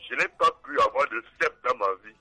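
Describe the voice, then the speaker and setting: A man's voice: medium pitch, fairly quick, sharp tone, dry recording. A man in his forties calling into a radio show, telling a story with laughter. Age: 40s. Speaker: caller. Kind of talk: phone-in storytelling. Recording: tinny phone line.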